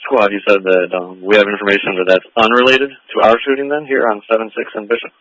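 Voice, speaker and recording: Low-voiced male officer on police radio. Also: flat delivery, quick pace, fairly bright tone. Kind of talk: procedural radio calls. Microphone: two-way radio heard over a scanner. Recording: dull, lo-fi police scanner radio.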